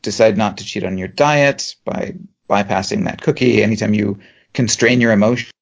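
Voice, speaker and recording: Low-voiced professor explaining calmly. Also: male voice, vocal fry, dull audio. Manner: measured, explanatory, dry humour. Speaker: professor and podcast co-host. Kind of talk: explanatory chat.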